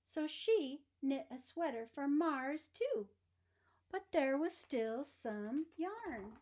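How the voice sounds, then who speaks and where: A woman reading a children's storybook aloud in expressive narration, with deliberate, emphatic phrasing for young listeners. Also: high-pitched.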